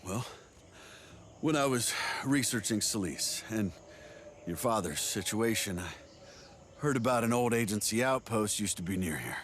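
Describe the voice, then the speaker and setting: Deep, folksy buddy, lively pitch. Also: male voice, moderate pace, slight background noise. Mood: easygoing, reflective. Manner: folksy, drawling, amused. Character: genial old American agency man, folksy buddy. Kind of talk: video game cutscene acting.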